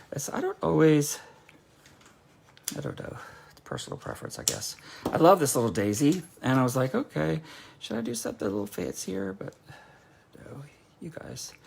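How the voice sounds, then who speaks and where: A man demonstrating card making in a casual, rambling way, with a hoarse, sometimes nasal voice. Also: mid-range voice, slight background noise. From North Carolina. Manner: chatty and rambling, frequent fillers and restarts.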